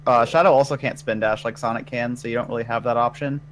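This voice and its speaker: A man's voice: mid-range voice, fairly even intonation, fast, slight background noise. A man co-commenting on a speedrun, chipping in brief, easygoing side remarks.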